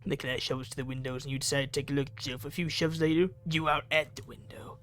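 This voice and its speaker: A man's voice: mid-range voice, fairly even intonation, fast, a bit bright. A man reading a visual novel aloud in a theatrical way, dropping into offhand asides. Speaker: video game player reading aloud. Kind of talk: ASMR-style visual novel read-through with asides.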